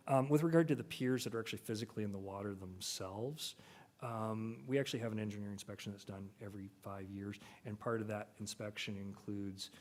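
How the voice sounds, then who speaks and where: A man presenting technical details at a public meeting, measured and full of fillers. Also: lowish voice, darkish tone, a little hiss or hum. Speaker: bridge authority official.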